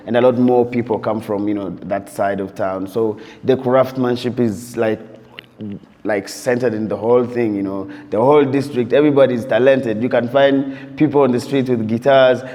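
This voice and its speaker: A man's voice: mid-range voice, mellow. A man from Uganda, a DJ and producer reflecting in an interview, telling his story loosely and leaning on "you know" throughout. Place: interview set while on tour abroad. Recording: long reverb.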